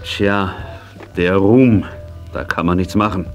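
An actor playing a kindly, wistful riding teacher in a children's audio drama. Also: male voice, low voice, fairly even intonation, slow, faint music behind.

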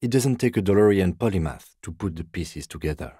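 An actor playing a composed, dry-witted middle-aged police detective in a video game, speaking in a measured, formal, deadpan way. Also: male voice, low-pitched, husky, no echo. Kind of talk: video game character dialogue.

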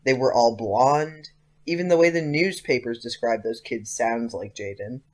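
An inquisitive teenage schoolboy acted by a man in an audio play. He has a medium-pitched male voice. He talks casually but insistently, with an earnest, persistent temper. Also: moderate pace, lightly acted. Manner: casual youthful phrasing, insistent. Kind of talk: audio drama acting.

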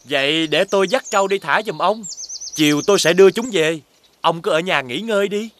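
A man's voice: high-pitched, a little fast, fairly bright tone, slight background noise. A man playing a kind, cheerful young villager in a folk-tale cartoon. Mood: friendly, helpful.

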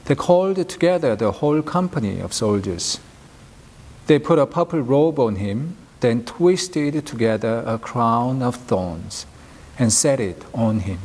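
A middle-aged man leading church worship as minister, reading prayers and scripture in a solemn, measured, formal way. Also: mid-range voice, expressive, a little mellow. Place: inside a church, at a pulpit. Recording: long echoing hall reverb.

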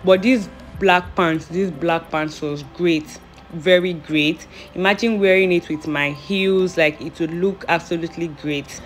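A woman's voice: on the low side, fairly even intonation, a little slow, faint music behind. A young woman with a Nigerian accent, narrating her clothing haul in a chatty, playful, self-amused way. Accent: Nigerian English. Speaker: vlogger.

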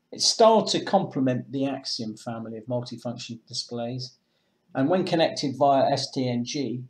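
Measured male product presenter. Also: mid-range voice, a little mellow, rough recording. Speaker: product presenter for a marine chandlery. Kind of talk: product demonstration, semi-scripted explanation. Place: indoors, small room with block walls at a table.

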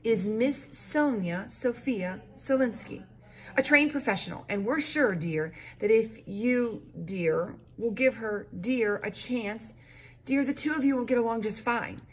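A woman's voice: fairly high pitch, measured pace, slightly rough, poor audio. A woman voicing an anxious, doting mother in a children's story, in a soothing and coaxing manner. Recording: noticeable room noise.

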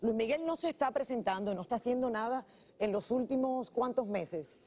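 A woman's voice: a little high, lively intonation, normal speed, warm tone, husky. A woman co-hosting a TV show, talking casually in a studio discussion. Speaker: TV show co-host.

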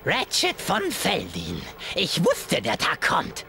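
A melodramatic, whiny video game superhero fanatic, drawing words out in a bright, piercing delivery. The pitch swoops wildly from line to line. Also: male voice, huge pitch swings, slow, gravelly, heavily acted. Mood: solemn, menacing. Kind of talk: video game cutscene acting.

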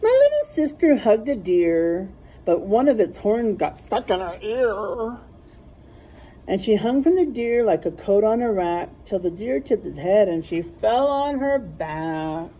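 A middle-aged woman reading a rhyming picture book aloud in a teacherly way, pausing to point out word pairs. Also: mid-range voice, big pitch swings, warm tone, lo-fi sound. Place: small classroom, indoors.

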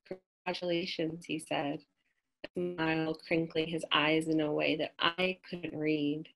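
A woman in her thirties with an American accent, reading her own personal essay aloud in a measured, reflective way over a webcam at home. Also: deep voice, fairly bright tone, rough recording. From United States. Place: small room at home, indoors. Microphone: webcam on a video call.

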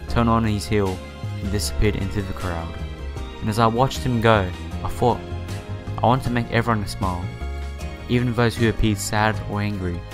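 Male let's player, low voice, reading story text aloud, casual asides, stumbling and repeating phrases, faint music behind. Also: fairly bright tone. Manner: stumbles over read words and repeats phrases. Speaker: let's play host.

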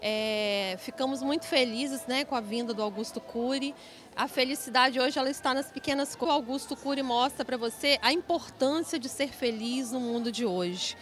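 A woman's voice: high voice, normal speed, a bit bright. A woman in her thirties speaking enthusiastically in an event interview, into a handheld reporter mic. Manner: enthusiastic, praising.

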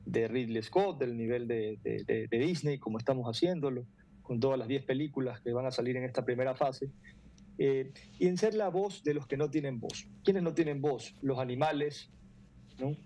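A middle-aged man, explaining earnestly and haltingly as an interview guest on a video call. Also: mid-range voice, mellow. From Ecuador. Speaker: foundation president, interview guest. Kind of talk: interview answers. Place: room indoors at home or office.

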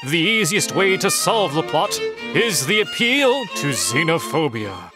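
A man with a high, very lively voice narrates theatrically. His delivery is grand and dramatic, with music playing behind him. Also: measured pace. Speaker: narrator of a comic dub.

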